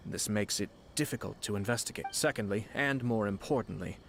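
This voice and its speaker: Composed, formal young aristocrat. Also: male voice, on the low side, creaky, a little hiss or hum. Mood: analytical. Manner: formal, measured, courteous. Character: composed, shrewd young aristocratic clan leader, courteous, calculating. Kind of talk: video game voice acting.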